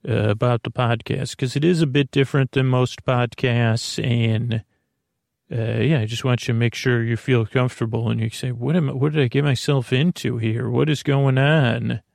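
A man with a creaky, gravelly voice of medium pitch hosts a sleep podcast, recorded close and dry. He meanders in a halting bedtime monologue, circling back over his words and wandering into tangents. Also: steady tone, a little mellow, no room sound. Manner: meandering, halting, repeats himself, drifts off topic. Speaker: podcast host.

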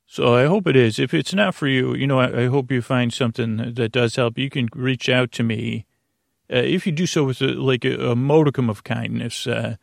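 Middle aged guy doing a sleep podcast, lots of vocal fry, stammers and wanders off on silly tangents, really dry close mic. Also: medium pitch, fairly even intonation, no room sound. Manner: halting, meandering, with stammered restarts and trailing tangents; self-deprecating, gently absurd humour. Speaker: podcast host. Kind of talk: rambling bedtime sleep-podcast monologue.

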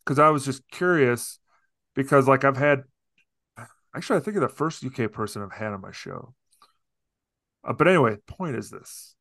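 A man's voice: mid-range voice, expressive, normal speed, vocal fry, pauses often, pushed and bright, dry recording, studio quality. A man hosting a podcast, asking friendly, rambling questions in casual interview talk. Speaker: podcast host.

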